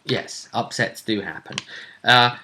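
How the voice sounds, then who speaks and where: Man, bright forceful tone, medium pitch, game master, casual recap, measured storytelling with pauses. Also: a little hiss or hum.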